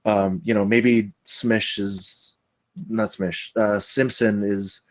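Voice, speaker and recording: A man's voice: fairly low pitch, fairly even intonation, slow. Rambling, chatty man giving casual feedback. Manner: rambling, chatty, self-interrupting. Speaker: table read participant giving script feedback. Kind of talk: casual spoken critique.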